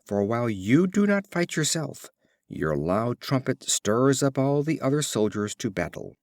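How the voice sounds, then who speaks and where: Stern fable captor, played by a male reader: accusing soldier, animated emphasis. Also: medium pitch, measured pace, no echo, clean studio sound. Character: stern enemy soldiers condemning their captive.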